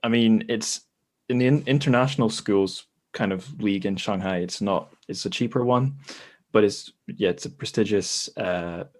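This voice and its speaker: A man with a fairly low voice hosts an informal chat. He talks in a loose, rambling manner and often corrects himself as he goes. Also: moderate pace, a little mellow. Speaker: podcast host. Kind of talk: casual chat.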